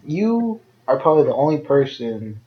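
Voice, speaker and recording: A man's voice: a little high, big pitch swings, moderate pace. A young man co-hosting a casual chat between friends, a bit nasal, rambling with lots of fillers and repeated words. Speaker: podcast co-host. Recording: dull lo-fi home recording.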